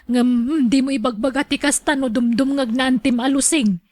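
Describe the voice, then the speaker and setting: A woman's voice: high-pitched, fairly even intonation, moderate pace, a little mellow, no room sound. An actress with an Ilocano accent playing a modest, good-humored old mother in a radio drama, self-deprecating and exclamatory. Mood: amused, modest.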